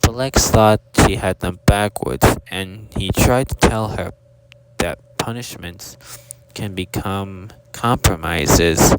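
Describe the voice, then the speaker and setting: Man voicing a rambling, low-voiced storyteller hippo, noisy recording. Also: slow pace. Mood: earnest. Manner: forgetful, digressive, formal, losing his thread. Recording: very noisy amateur recording with clicks.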